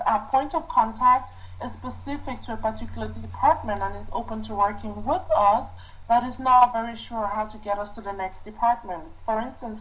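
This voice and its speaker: A woman's voice: a little high, poor audio. A woman on a team conference call, speaking as a member who puts questions about a business project.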